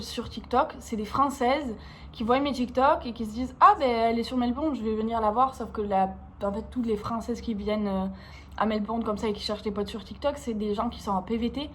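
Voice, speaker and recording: A young woman with an accent from France, vlogging in an informal, slangy, expressive way, confiding casually in her viewers. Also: fairly high pitch, a little hiss or hum. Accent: French from France. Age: young adult.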